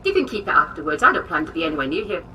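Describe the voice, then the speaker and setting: Chipper bossy british scientist, game character, piercing bright voice, bit distant with faint noise. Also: female voice, low voice, big pitch swings, racing, exaggerated voice. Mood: brisk, businesslike. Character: brisk, eccentric, brilliant woman scientist held captive. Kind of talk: video game character acting.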